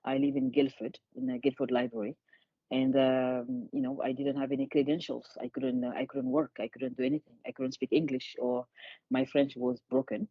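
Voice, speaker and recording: A woman's voice: rumbling low, level tone, normal speed, husky, dry recording, highs cut off. A woman, a charity founder speaking earnestly in an interview and often restarting her words. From Senegal. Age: 50s. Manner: earnest, repeats words as she restarts, laughs lightly. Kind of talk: conversational interview.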